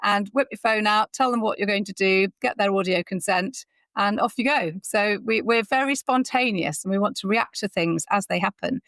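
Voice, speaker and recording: A woman's voice: medium pitch, moderate pace, a bit bright, no echo, poor audio. A woman speaking as an interview guest in an articulate, enthusiastic, conversational way. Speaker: interview guest, founder of an audio training platform.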